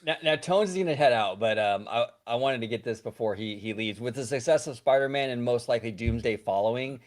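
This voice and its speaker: A man's voice: mid-range voice, a little fast. A man hosting a casual chat, leading the talk and asking the others questions. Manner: leads discussion, asks questions. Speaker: podcast host.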